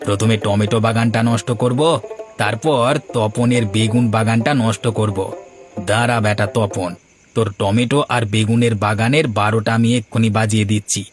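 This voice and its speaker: A man's voice: lowish voice, little pitch movement, moderate pace, soft background music, lightly acted. A man playing a spiteful village saboteur in a Bengali cartoon, gloating and menacing as he speaks. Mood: gloating, malicious. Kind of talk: Bengali moral-story cartoon dub.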